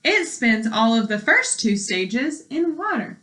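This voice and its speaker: A woman's voice: fairly high pitch, sing-song, unhurried, fairly bright tone. A woman teacher reading aloud to young children and explaining as she goes in simple words, with questions to the listeners. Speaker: elementary school teacher.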